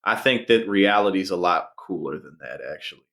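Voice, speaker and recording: Guy with a lowish voice thinking out loud on a podcast, thoughtful and easygoing. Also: lively intonation, a little fast. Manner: thoughtful, musing. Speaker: podcast co-host. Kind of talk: casual podcast chat.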